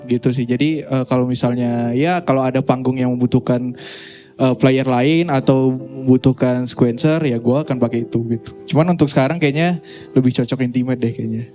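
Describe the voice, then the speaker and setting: Mellow-voiced young man, informal. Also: medium pitch, steady tone, brisk, dull audio, soft background music. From Sumatra, Indonesia. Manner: informal, slangy. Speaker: guest musician and songwriter. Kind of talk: casual interview chat.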